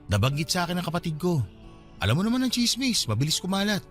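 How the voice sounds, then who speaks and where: A man's voice: sits high, sing-song, fast talker, fairly bright tone, faint music behind. A man playing an irritable, dismissive young father in a radio drama, speaking curtly and impatiently. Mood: tense, probing. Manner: curt, impatient. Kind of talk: Filipino radio drama acting.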